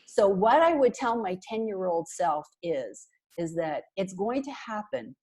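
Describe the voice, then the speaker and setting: A woman's voice: mid-range voice, measured pace. A middle-aged woman from Canada, a marketing consultant, talking animatedly and emphatically as a guest on a video call through an earphone headset. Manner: animated, talks with her hands, chatty and emphatic. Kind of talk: casual video call interview. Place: small bright room indoors.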